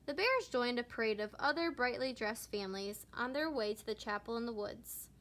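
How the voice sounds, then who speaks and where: A woman reading a children's story aloud, lingering deliberately on certain words. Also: fairly high pitch, expressive, a bit bright. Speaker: storybook reader. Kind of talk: children's read-aloud narration.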